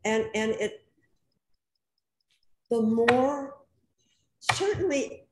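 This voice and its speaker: A woman on a senior services panel over a video call, conversational and quick to jump in. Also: on the high side, expressive. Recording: echoey room, faint background noise.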